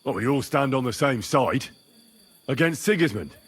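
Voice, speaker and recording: An actor playing an earnest, determined young squire in a medieval video game, polite and plain-spoken. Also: male voice, mid-range voice, expressive, normal speed, fairly bright tone, husky. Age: young adult. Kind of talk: video game cutscene acting.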